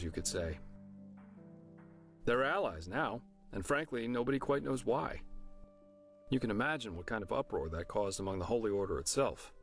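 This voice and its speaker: An actor playing a chatty, wry, easygoing adventurer in a fantasy game, explaining things to a newcomer in a casual, jokey manner. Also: male voice, medium pitch, lightly acted. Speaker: travelling companion and guide character. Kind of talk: scripted fantasy game dialogue.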